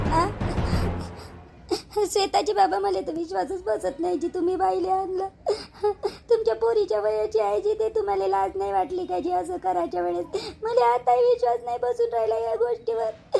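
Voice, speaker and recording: Woman doing a crying hurt wife, really high voice, bitter and weepy. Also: steady tone, normal speed, creaky, a little mellow, exaggerated voice. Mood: tearful reproach. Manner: sobbing, reproachful. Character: wronged young wife, tearful and bitter. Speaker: actor in a family drama skit.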